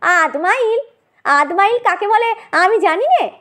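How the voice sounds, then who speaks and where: Woman acting exasperated hungry man, comic audio story, very high pitch, animated grumbling. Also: slow pace, heavily acted. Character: grumpy, hungry male traveller, quick to complain.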